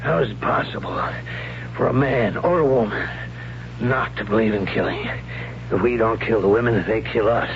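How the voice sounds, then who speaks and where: Blunt older warrior teacher, old radio. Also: male voice, lowish voice, vocal fry. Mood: blunt, grave. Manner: blunt, brisk, instructing. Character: gruff older warrior, veteran hunter and sword teacher. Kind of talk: 1970s radio drama acting. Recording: dull, noisy old radio recording with hum.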